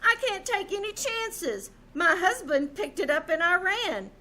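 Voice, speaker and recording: Gushy rich hostess, old radio comedy, really high voice, takes her time, coy and theatrical. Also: big pitch swings, unhurried, pushed and bright, full character voice. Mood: breezy, self-important. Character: gushing, flirtatious, vain wealthy society hostess. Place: live small-town theater stage.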